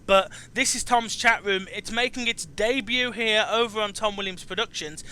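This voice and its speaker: Male chat host, very bright and forceful, high-pitched, halting but emphatic. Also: smooth. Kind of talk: online interview.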